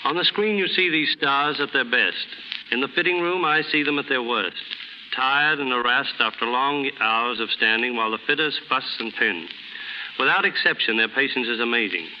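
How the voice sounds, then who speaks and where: A man's voice: mid-range voice, moderate pace, sharp tone. A man giving a formal, scripted guest talk on 1930s radio. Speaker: guest stylist. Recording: noisy 1930s radio recording.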